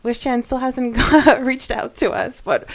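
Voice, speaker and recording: A woman co-hosting a podcast, chatting casually and reading comments aloud in an enthusiastic, halting way with repeated starts. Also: fairly high pitch, gravelly. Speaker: podcast co-host.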